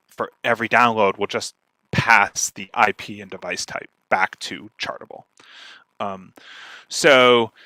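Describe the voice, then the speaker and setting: Bright-voiced man giving an informal talk. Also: lowish voice, no echo. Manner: explanatory, checks in with "right?". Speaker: speaker, podcast marketer giving a talk. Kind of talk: informal instructional presentation.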